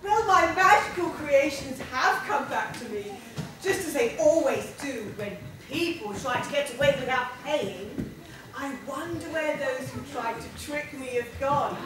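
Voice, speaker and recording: Woman as a scheming spider villainess, high and echoey. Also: expressive, unhurried. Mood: gloating. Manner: taunting, addressing the audience. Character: scheming female spider villain, menacing and theatrical. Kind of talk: amateur pantomime stage acting. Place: theatre stage, filmed from far off. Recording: echoey noisy theatre, distant microphone.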